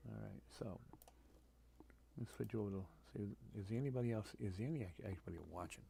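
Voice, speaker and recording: A man from New England hosting a casual, unscripted livestream, halting and repeating himself as he thinks aloud. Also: on the low side, vocal fry, dark tone. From New England, United States. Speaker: livestream host, radio scanner hobbyist. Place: room at home. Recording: noticeable background noise, home livestream.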